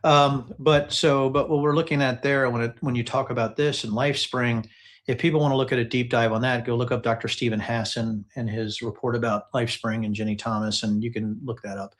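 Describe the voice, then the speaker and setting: A man's voice: medium pitch, level tone, brisk. Emphatic man giving live political commentary. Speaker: host commentator analyzing political text messages.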